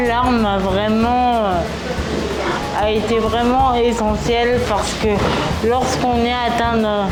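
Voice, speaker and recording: A woman giving an earnest personal testimony as an artist, phrasing deliberately in short bursts. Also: medium pitch. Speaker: dancer and artist. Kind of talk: personal testimony in a documentary interview. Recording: roomy echo under loud music.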